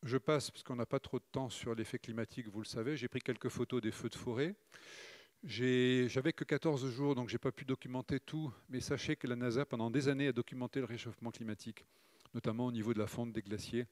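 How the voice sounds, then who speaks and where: Measured middle-aged man presenting slides. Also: mid-range voice, fairly even intonation, a little mellow, dry recording. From Morocco, raised in Provence. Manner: measured, hesitant with frequent "euh" fillers. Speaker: speaker giving a talk. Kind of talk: conference talk with slides.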